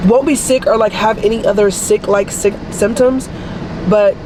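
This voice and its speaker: A young woman vlogging casually to camera, chatty and rambling, her voice deeper than usual after losing it, with air conditioning humming around her. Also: fairly even intonation, moderate pace. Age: young adult. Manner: chatty, rambling, says y'all a lot. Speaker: vlogger, nursing student. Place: coffee shop and car. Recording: noisy background with air conditioning.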